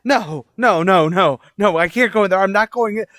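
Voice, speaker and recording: A man's voice: sits high, moderate pace, fairly bright tone, no room sound. A man playing a terrified condemned prisoner in a radio drama, stammering and pleading his innocence. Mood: panicked, pleading. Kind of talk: old-time radio drama acting.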